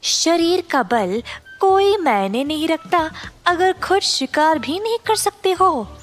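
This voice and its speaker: A woman's voice: very high voice, lively intonation, takes it slowly, soft background music, exaggerated voice. A woman voicing a cunning fox servant in a children's cartoon story. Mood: scornful, scheming. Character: cunning fox servant, flattering yet secretly scornful.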